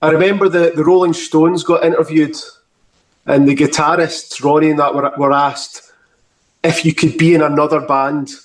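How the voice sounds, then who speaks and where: A man's voice: fairly high pitch, flat delivery, normal speed, echoey room, distant mic. A man hosting a music interview, asking friendly conversational questions. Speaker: talk show host.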